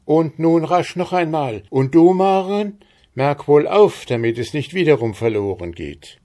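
A man's voice: mid-range voice, unhurried, dark tone, voice pushed up, lightly acted. Guy reading as storybook peasant mom, earnest and instructing, voice lifted up a bit. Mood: urgent excitement. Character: motherly peasant widow, earnest and urgent. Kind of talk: fairy-tale storybook reading.

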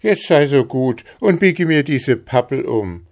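A man's voice: mid-range voice, lively intonation, measured pace, gravelly, darkish tone, voice pushed up. A man voicing a clever young tailor hero who calls out slyly and cheekily. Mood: cheeky.

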